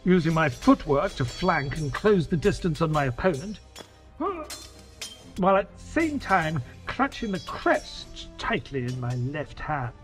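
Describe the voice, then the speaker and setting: An actor playing an earnest detective's companion, giving dramatic first-person narration of an adventure. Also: male voice, on the high side, sing-song, a little slow, faint music behind. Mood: tense.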